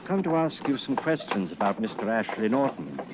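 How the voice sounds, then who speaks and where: A man playing a grave, brooding detective inspector with formal, deliberate speech in a vintage radio drama. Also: lowish voice, sing-song, background noise. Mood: probing. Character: grave, self-doubting male detective inspector narrating his investigation. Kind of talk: 1940s radio drama acting. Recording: muffled old-time radio broadcast, music behind.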